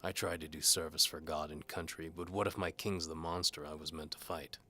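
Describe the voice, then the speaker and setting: A jaded medieval hired bowman acted by a man narrates his own tale with dry sarcasm. His voice is deep yet has a hard, forceful edge, wry and cynical in noir audio drama fashion. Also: flat delivery, brisk, breathy edge, heavily acted. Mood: bitter, defiant. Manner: sardonic, wry.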